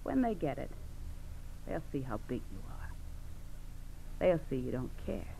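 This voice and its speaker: An actress playing a domineering, scheming American criminal wife in 1940s radio crime drama, bossy, coaxing and belittling. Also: female voice, very deep voice, very animated, unhurried, creaky, dark tone, full character voice. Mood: scheming, persuasive. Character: domineering, scheming criminal wife goading her frightened husband. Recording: dull old radio recording with hiss.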